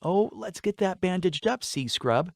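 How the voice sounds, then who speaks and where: A man voicing a warm, affectionate, fussing mother in a fantasy audiobook, with a tender manner. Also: high voice, expressive, unhurried, vocal fry, no room sound, clean studio sound, pitched above the performer's own voice, full character voice. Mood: concerned. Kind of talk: fantasy audiobook character dialogue.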